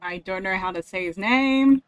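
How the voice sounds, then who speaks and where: A woman's voice: mid-range voice, moderate pace, clear, resonant tone. A woman livestreamer reacting casually as she plays a game.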